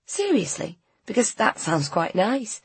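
A woman voicing a kind, upbeat woman bookseller in a storybook, with a gentle, earnest manner. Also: mid-range voice, very animated, a little slow, slightly rough, pitched above the performer's own voice, full character voice. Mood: curious, bright.

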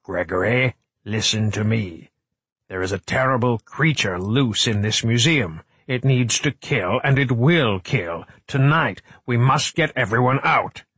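A man's voice: mid-range voice, very animated, normal speed, a bit bright, breathy edge, dry recording, voice pushed up. A man voicing a desperate, commanding older male scientist in an audiobook, emphatic and imploring. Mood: grave, deliberate. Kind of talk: audiobook character acting.

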